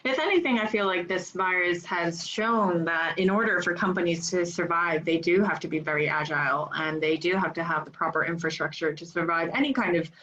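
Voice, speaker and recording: A woman moderating an online panel discussion over a video call, measured and encouraging, often affirming the speakers. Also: on the low side, sharp tone, slight background noise. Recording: slightly dull lo-fi call audio.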